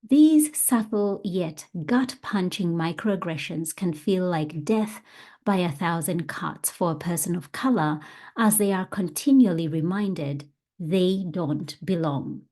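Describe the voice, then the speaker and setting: A woman's voice: on the low side, lively intonation, takes it slowly, warm tone. A woman hosting a podcast as a coach, presenting to her listeners.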